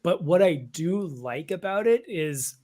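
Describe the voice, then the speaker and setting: Higher-voiced man in casual explanatory chat. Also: expressive, measured pace, dark tone. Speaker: podcast co-host. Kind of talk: casual fan discussion of Star Wars.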